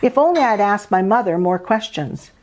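A woman's voice: mid-range voice, expressive, moderate pace, a little mellow. An older woman from Canada, an author and host, reading aloud and presenting a home cooking demonstration. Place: indoors at a dining table in a home.

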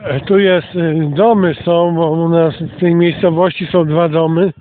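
High-voiced slow man, phone-like sound. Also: fairly even intonation, a little hiss or hum. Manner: halting, pausing to think, commenting on surroundings. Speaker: channel host. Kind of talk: casual narrated walk for an online channel. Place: outdoors, walking through countryside. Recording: muffled phone-like narrow-band sound.

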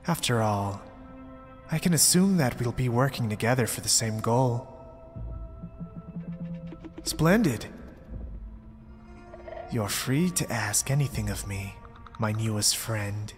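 A man's voice: medium pitch, lively intonation, takes it slowly, long pauses. A man playing a mysterious young zealot, polite, pompous and persuasive, in an ASMR roleplay drama. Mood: polite, grandiose. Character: mysterious, smooth-talking young male zealot cult recruiter. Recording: long reverb, background music. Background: music.

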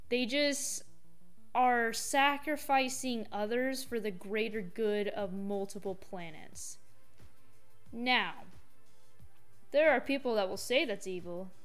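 A woman giving casual reaction commentary as a gaming video host, thinking aloud in a halting way. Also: medium pitch, fairly bright tone, smooth.

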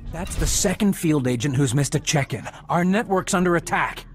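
An actor playing an agitated, pessimistic intelligence agent in a video game, urgent and insistent. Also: male voice, a little high, normal speed, faint music behind, lightly acted. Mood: urgent, worried.

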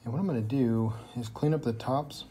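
A man's voice: mid-range voice, a little fast. A young man filming his woodworking project on a handheld phone in his workshop, talking casually and rambling with lots of fillers. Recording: noisy phone recording in a workshop.

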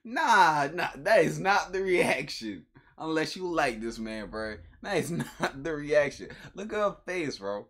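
A young man with a husky voice giving a casual, slangy, incredulous reaction commentary in a room at home. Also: on the high side, lively intonation, unhurried, fairly bright tone. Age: young adult. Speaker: reaction video host. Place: small room at home, indoors.

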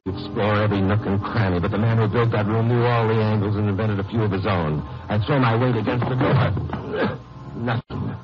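An actor playing a steady, wry lawyer-sleuth hero in a 1950s radio crime drama. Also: male voice, fairly low pitch, a little fast, loud music behind the voice, lightly acted. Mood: dry narration. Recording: muffled, noisy old radio recording.

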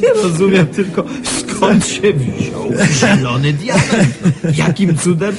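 An actor playing an amused, admiring accomplice in a radio drama. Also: male voice, sits high, animated, slow pace, exaggerated voice. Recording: music playing behind the voice.

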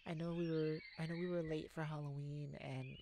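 A woman's voice: low voice, flat delivery, moderate pace. A woman playing a silly, affectionate, giggly and bashful young boyfriend in an ASMR romance roleplay. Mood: tender. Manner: giggly, bashful, hesitant, gushing. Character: silly, playful, affectionate young boyfriend surprising his partner. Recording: clearly noisy, ambient sounds behind.

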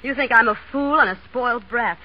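An actress playing a spoiled, candid, headstrong young runaway heiress in a 1930s radio drama. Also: female voice, fairly high pitch, brisk, natural voice. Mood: chatty, candid. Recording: muffled, dull 1930s radio broadcast.

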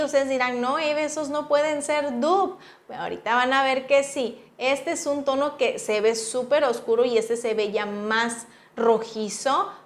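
A woman with a Mexican accent giving a casual, chatty makeup review as a beauty vlogger, informal and full of affectionate diminutives. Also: high voice. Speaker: beauty vlogger, channel host.

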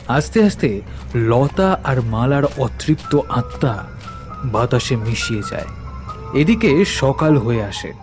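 A man's voice: mid-range voice, a little mellow. A man narrating a cartoon story in a scripted, dramatic storytelling style. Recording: prominent music under the voice.

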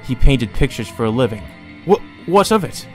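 A man's voice: mid-range voice, very animated, moderate pace, soft background music, close to an everyday voice. A man voicing a distraught, defensive young nobleman in a visual novel game, stammering and indignant. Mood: disbelieving.

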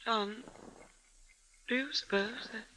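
High, anxious radio-drama wife. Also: female voice, slow pace, bright, forceful tone, low-quality recording. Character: anxious, pleading wife and mother. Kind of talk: 1950s radio drama acting. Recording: vintage 1950s radio broadcast.

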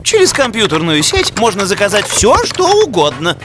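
An actor voicing an excited cartoon animal inventor who explains things with enthusiasm, over music. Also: mid-range voice, normal speed. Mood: excited, proud. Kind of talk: animated children's educational cartoon acting.

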